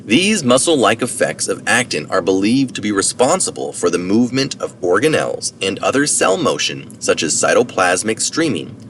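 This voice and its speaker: A man's voice: fairly low pitch, very animated, normal speed, few pauses, a bit bright. A man reading a science article aloud as narration, with informal asides for citations. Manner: informal asides for citations, occasional self-correction. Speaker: reader of articles. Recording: distant mic, noticeable background noise.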